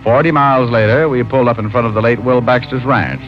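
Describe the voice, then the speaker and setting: A man's voice: medium pitch, fast talker, lo-fi sound, lightly acted. A man playing a wisecracking, world-weary New York private detective in 1940s radio style, with dry sardonic quips. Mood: wry narrating. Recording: band-limited old radio recording with music.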